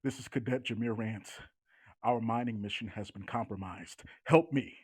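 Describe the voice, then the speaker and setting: A man playing an urgent young space cadet in distress in a screenplay table read, with a husky voice. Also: on the low side, normal speed. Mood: pleading, subdued. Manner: urgent, exclaiming.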